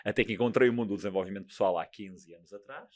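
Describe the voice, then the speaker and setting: Man, European Portuguese accent, middle-aged, lowish voice, earnest, repeats himself as he thinks. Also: rapid-fire. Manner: earnest, repeats phrases while searching for words. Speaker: guest, coach and trainer. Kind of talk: interview conversation.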